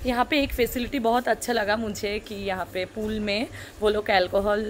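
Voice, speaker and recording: A woman's voice: medium pitch, steady tone, normal speed. Chatty young woman vlogging on phone. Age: young adult. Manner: chatty, exclaims often. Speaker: travel vlogger. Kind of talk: casual travel vlog narration. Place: hotel room, then outdoor rooftop pool. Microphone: handheld phone at arm's length. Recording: noisy phone audio with ambience.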